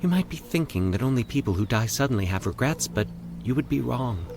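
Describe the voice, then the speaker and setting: An uncanny, serene woman as a male narrator puts her on speaks for the dead in a horror tale. The delivery is a measured, soothing monotone, raised well above the man's natural register. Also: medium pitch, noisy background, voice pushed up, lightly acted. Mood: grave, calm. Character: calm, eerie woman who hears the dead's regrets.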